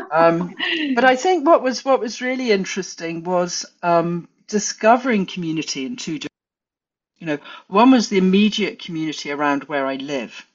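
A woman with an English accent, a guest forager talking in a relaxed interview, thoughtful and full of restarts and fillers. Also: lowish voice, moderate pace, lo-fi sound. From England. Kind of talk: casual interview chat.

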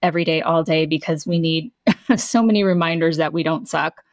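A woman's voice: sits low, brisk, no room sound, studio quality. Curious rambling woman co-host. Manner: curious, rambling question with "like" and "you know". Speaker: podcast co-host. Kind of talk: interview questions.

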